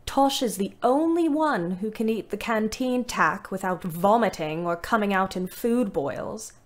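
Actress as weary, wry wartime woman in her twenties, mid-pitched, measured literary diary narration for audio drama. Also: animated, natural voice. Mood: fond, wry. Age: 20s. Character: weary, wry young British woman ambulance driver telling her diary.

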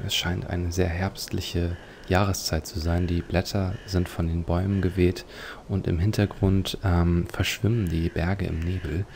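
A man's voice: low voice, creaky, darkish tone, a little hiss or hum. A man hosting a let's play, giving leisurely, reflective commentary on a video game.

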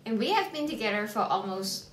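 A woman's voice: on the high side, sing-song, fairly quick, slight background noise. Playful Hong Kong woman, echoey room. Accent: Hong Kong English. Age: late 20s. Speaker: vlog co-host, nurse and yoga teacher. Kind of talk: casual couple vlog introduction. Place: small indoor room at home.

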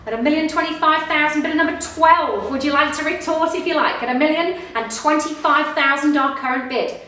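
A woman in her thirties with an Australian accent, working as an auctioneer and calling bids live with brisk, upbeat sales patter. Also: high-pitched, pushed and bright, far from the mic, a little hiss or hum. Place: small office studio in front of a backdrop. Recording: echoey room, voice heard from off the mic.

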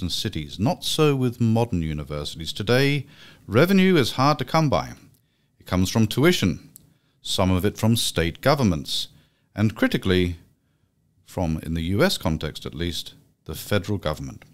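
A middle-aged man hosts a podcast from a small office room, speaking into a studio mic. His voice sits very low, but his intonation swings widely. He talks in a measured, formal way. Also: big pitch swings. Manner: measured, formal delivery with fillers in chat. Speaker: podcast host. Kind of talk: scripted intro then interview. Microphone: studio mic with pop filter.

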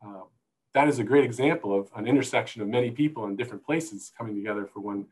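A middle-aged man explaining things as a panel guest on a video call, in thoughtful, halting phrases, on an earbud mic. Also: fairly low pitch, a little mellow, slightly rough, rough recording. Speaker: guest, zoo conservation manager. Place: indoor room, video call. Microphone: wired earbuds headset.

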